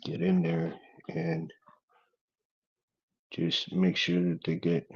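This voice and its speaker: A man with a monotone voice, chatting casually and quietly as he works on a hobby build. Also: deep voice, slow, husky, highs cut off. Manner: laid-back, talks quietly while working. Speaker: hobby craft vlogger. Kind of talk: casual working chat while building.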